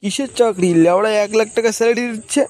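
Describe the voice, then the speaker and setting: Guy as a bossy cartoon gang boss, highish quick voice, swears at everyone, slight noise. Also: steady tone, a little mellow, close to an everyday voice. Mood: indignant. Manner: domineering, cursing. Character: bossy, crude male leader of an idlers' club. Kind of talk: comic cartoon dubbing.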